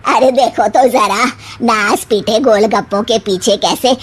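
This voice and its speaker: Slow, high-voiced sarcastic mother-in-law played by a woman. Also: fairly even intonation, breathy edge. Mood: scornful. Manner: taunting, mocking, drawn-out delivery. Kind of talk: animated moral story acting.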